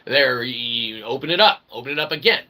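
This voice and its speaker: A man's voice: medium pitch, expressive, fairly quick, bright, forceful tone. A man delivering a rambling solo monologue in wordy, mock-formal phrasing, with theatrical swings in emphasis and repeated emphatic tags. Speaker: independent channel host and developer. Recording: noticeable background noise.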